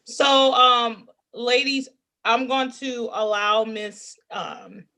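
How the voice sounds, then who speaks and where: Relaxed woman hosting a talk show. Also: on the high side, extremely slow. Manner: relaxed, conversational, with fillers and restarts. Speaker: host.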